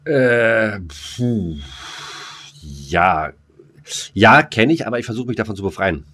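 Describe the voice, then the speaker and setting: A man's voice: lowish voice, expressive, takes it slowly, bright, forceful tone, slightly rough. A man co-hosting a podcast in casual chat, colloquial and given to short, clipped remarks. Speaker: podcast co-host.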